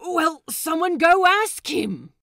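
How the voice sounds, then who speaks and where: A woman voicing a loud, impatient, excitable male pirate cook with a blunt, bossy manner. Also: extremely high pitch, takes it slowly, no room sound, clean studio sound, pitched above the performer's own voice, full character voice. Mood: excitable, pushy.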